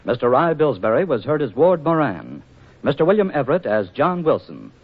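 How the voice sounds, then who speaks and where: A man's voice: mid-range voice, very animated, fairly quick. Old time radio announcer guy, formal, music behind him, muffled sound. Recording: dull vintage radio recording, music behind.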